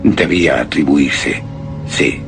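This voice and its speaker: Deep, slow, solemn gothic lover. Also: male voice, faint music behind, exaggerated voice. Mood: solemn, fascinated. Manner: solemn, savoring, reverent declamation with hushed emphases. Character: grave, obsessed man rapturously recalling his beloved's eerie beauty. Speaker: narrator of a horror story. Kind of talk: dramatic radio theatre monologue.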